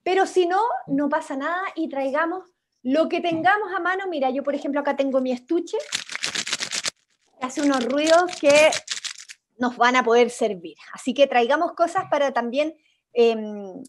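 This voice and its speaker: A young Chilean-accented woman with a high-pitched voice leads an online workshop. She is informal and encouraging as she gives instructions over a video call. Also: normal speed. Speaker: workshop host. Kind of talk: interactive online creative workshop.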